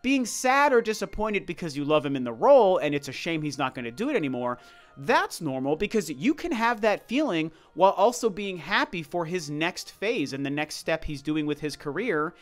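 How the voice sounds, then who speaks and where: Higher-pitched man giving casual opinion commentary. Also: big pitch swings, normal speed, soft background music. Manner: emphatic, conversational, repeats points for stress. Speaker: video commentator. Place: voice-over over gameplay footage.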